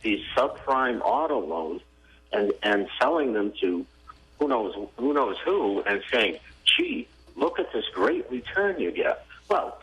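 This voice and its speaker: Guy on a radio talk show, bouncy pitch, lots of ums, skeptical. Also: sing-song, slow pace, a bit bright, poor audio. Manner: conversational, skeptical, hesitant with fillers. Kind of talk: casual radio chat. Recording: AM radio broadcast.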